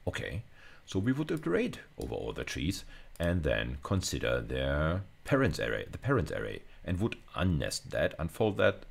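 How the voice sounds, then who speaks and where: A middle-aged man with an extremely low voice and plenty of gravelly fry. He lectures informally, walking through material one step at a time, repeating and rewording phrases as he thinks out loud. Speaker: university lecturer. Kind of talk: informal screencast lecture, explaining code step by step.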